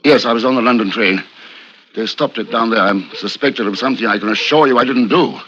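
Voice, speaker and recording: Actor as hunted hero on muffled old radio. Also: male voice, mid-range voice, slight background noise, close to an everyday voice. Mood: polite, anxious. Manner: courteous, measured, dramatic. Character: hunted innocent man on the run, polite, tense narrator-hero. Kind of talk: 1950s radio drama narration and dialogue. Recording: muffled old radio recording, loud music.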